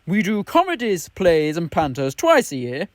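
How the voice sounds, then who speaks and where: A woman's voice: fairly low pitch, wildly swooping pitch, normal speed, dry recording. A woman announcer reading a scripted promotional message.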